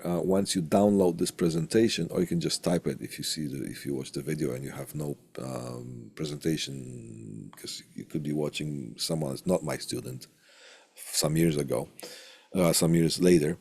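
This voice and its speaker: A man with a gravelly, husky voice giving an informal recorded lecture as an instructor, halting and hesitant with long pauses, close on a studio condenser mic. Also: sits low, mellow, dry recording. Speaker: college instructor teaching audio equipment. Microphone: close studio condenser microphone through tube preamp.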